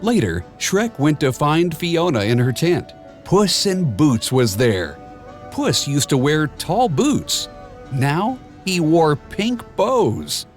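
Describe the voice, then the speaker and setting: A man reading a children's storybook aloud in a measured, expressive storytelling manner, with clear and simple phrasing. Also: fairly high pitch, soft background music. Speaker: storybook narrator. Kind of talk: scripted children's read-along storybook narration.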